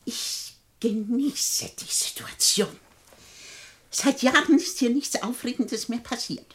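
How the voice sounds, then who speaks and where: A woman's voice: a little high, slow. An actress in a German radio play playing a proud, sardonic older woman who faces down robbers. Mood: amused defiance. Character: defiant, dying old lady, unafraid of her robbers.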